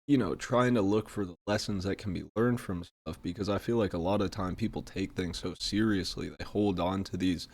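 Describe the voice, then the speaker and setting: A man with a hoarse throat, getting over an illness, hosting a solo podcast in a reflective, rambling way. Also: fairly low pitch, darkish tone. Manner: reflective, rambling, many fillers. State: hoarse, recovering from a sore throat. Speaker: podcast host. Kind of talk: casual solo podcast talk.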